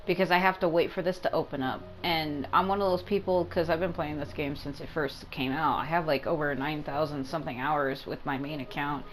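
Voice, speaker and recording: A woman's voice: low voice, fairly even intonation, fairly quick, hardly any pauses, soft background music, rough recording. A woman giving casual gameplay commentary as a video game streamer, rambling and repeating herself for emphasis.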